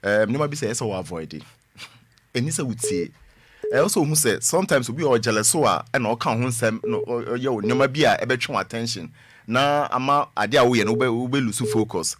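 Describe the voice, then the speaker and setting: Animated man giving quick advice. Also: medium pitch, a little hiss or hum. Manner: mixes English phrases, advising.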